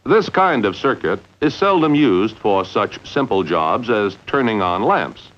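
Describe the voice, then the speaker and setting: A man narrating a 1950s instructional training film in a measured, deliberate way with emphatic enunciation. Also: on the low side, sing-song. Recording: dull, lo-fi 1950s film soundtrack.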